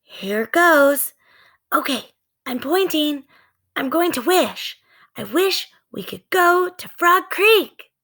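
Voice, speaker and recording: A woman voicing an eager, adventurous young girl from a storybook, with expressive, earnest delivery. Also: high-pitched, slow, pitched above the performer's own voice, full character voice. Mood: earnest, wishing.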